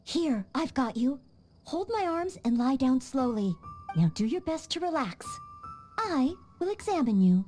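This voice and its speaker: A woman voicing an earnest, polite young maid who trains as a knight, speaking gently and eager to help. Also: high-pitched, sing-song, measured pace, faint music behind. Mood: caring. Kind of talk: video game voice acting.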